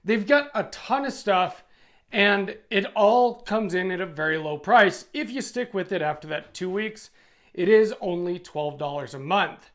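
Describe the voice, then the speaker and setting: American guy, kinda high voice, casual plain-spoken youtube review talk. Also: a little slow. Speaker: video host and product reviewer. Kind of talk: casual product review talking to camera.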